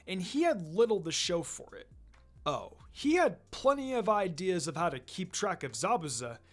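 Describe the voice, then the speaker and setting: A man reading scripted fan fiction aloud as a story narrator. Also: high voice. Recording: music behind the voice.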